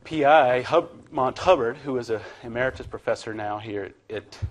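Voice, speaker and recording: A man in his thirties from Virginia giving a relaxed, chatty university lecture to a classroom, with plenty of ums and uhs. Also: medium pitch, moderate pace, a little hiss or hum. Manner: relaxed, chatty, filler-heavy.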